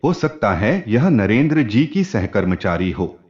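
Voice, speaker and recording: A caring, inquisitive neighbour woman , read by a man in a storybook family drama. The delivery is emphatic, with big swings in pitch, on dull lo-fi audio. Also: very animated, a little slow, darkish tone. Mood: suspicious.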